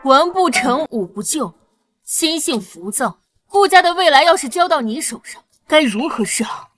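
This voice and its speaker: Casting: upright, authoritative young heroine for historical drama, high voice, light music behind. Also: female voice, unhurried, slightly rough. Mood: scolding. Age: young adult. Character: stern, righteous young warrior woman with motherly authority. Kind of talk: Chinese period drama acting.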